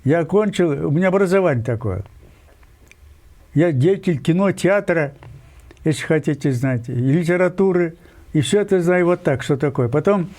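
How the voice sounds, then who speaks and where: High-voiced older man, insistent and digressive. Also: animated, darkish tone. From Kyrgyzstan. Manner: insistent, digressive, many pauses and fillers. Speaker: guest writer. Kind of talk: emphatic interview talk.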